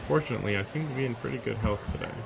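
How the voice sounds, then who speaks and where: A man's voice: medium pitch, very fast, gravelly, heavy background noise. A man live streaming a visual novel, reading the text aloud and dropping in casual, sarcastic asides. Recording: music behind the voice. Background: game music.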